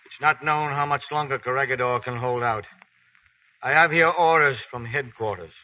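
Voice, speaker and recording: An actor playing a grave army colonel, heard from afar. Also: male voice, mid-range voice, moderate pace, no room sound, close to an everyday voice. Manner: formal, grave and commanding. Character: stern male army colonel giving orders. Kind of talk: 1940s radio acting. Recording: dull radio broadcast, voice from afar.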